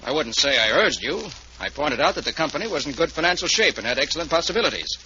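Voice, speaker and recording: Smooth male broker, lively inflection, old radio drama, dull hissy recording. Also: fairly high pitch, fast talker, heavily acted. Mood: defensive. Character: busy, smooth-talking male stockbroker, secretly romancing a woman. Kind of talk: 1940s radio acting.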